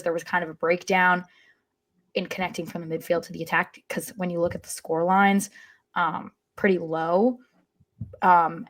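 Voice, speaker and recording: Low-pitched woman talking sports analysis. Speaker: soccer podcast panelist.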